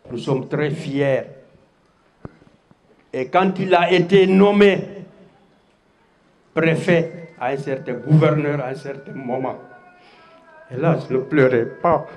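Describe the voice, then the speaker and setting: A man's voice: mid-range voice, darkish tone. An older man with a Guinean accent giving a public tribute speech into a handheld microphone. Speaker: community elder giving a speech. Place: public gathering in a covered hall.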